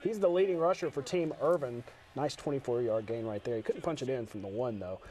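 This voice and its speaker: A man hosting a sports show, calling highlights and putting questions to guests in broadcast style. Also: fairly quick, darkish tone. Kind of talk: upbeat sports highlight commentary and interview.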